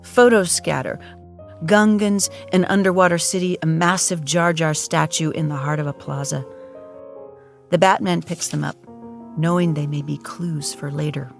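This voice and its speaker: A woman narrator giving a solemn, measured, dramatic documentary-style narration for an audio drama. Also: low-pitched, fairly even intonation. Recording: clear music behind.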